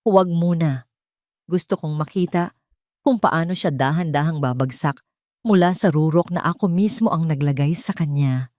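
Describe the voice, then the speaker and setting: A woman with a Filipino accent narrating a dramatic scripted story in the first person, solemn and deliberate. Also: low-pitched, darkish tone, dry recording, studio quality.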